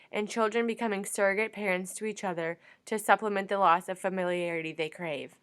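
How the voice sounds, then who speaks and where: A woman's voice: mid-range voice, clear, resonant tone. A young woman reading an earnest, rhetorical narration for a student documentary. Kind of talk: scripted documentary voice-over.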